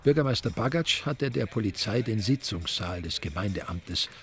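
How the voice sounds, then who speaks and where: An actor narrating a radio crime drama in a dry, ironic, literary way. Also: male voice, lowish voice, lively intonation, moderate pace. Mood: dry, wry. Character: wry male narrator of a village crime story. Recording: music behind the voice.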